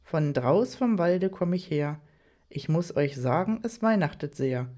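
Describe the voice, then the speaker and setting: A man's voice: on the high side, animated, a little slow, mellow. Narrator doing a cheerful man reciting verse. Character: cheerful male colleague reciting a Christmas poem.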